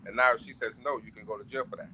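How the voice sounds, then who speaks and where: A man's voice: medium pitch, fairly even intonation, fast, bright, forceful tone, husky, low-quality recording. A man with a Trinidadian accent, arguing his point insistently in a casual panel debate and repeating himself for emphasis. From Brooklyn, United States. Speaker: podcast panelist.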